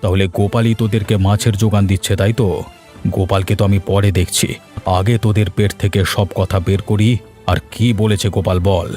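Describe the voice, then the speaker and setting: A man's voice: fairly low pitch, flat delivery, fairly quick, a little mellow, faint music behind, close to an everyday voice. A man voicing a stern, domineering village headman in a cartoon, scolding and interrogating in a commanding manner. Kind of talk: cartoon dialogue.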